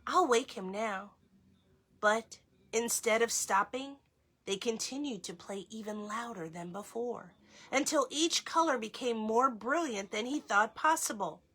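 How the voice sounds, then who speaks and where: A woman reading a children's story aloud as host, in an expressive storytelling style. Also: on the high side, a little slow, breathy edge.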